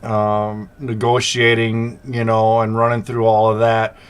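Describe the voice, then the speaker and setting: A man's voice: lowish voice, completely flat delivery, measured pace, smooth. A middle-aged man hosting a freight business channel, talking casually to viewers with a slight sore throat, plenty of fillers and easygoing asides. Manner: conversational, many fillers, reassuring asides. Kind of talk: casual talk to camera.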